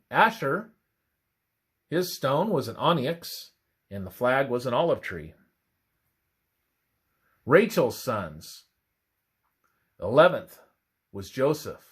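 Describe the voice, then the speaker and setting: A man reading a scripted religious narration aloud in a deliberate way, halting between short phrases. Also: medium pitch, husky. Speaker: reader of a religious presentation.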